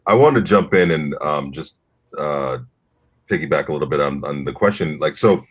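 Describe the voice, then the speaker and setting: A man on a discussion panel who speaks haltingly and restarts his sentences often. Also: extremely low pitch, animated, slightly rough.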